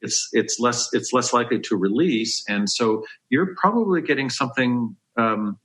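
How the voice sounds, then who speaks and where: A man's voice: mid-range voice, moderate pace, a bit bright. A man giving health advice as a dentist on a podcast, explaining step by step in an emphatic, conversational way.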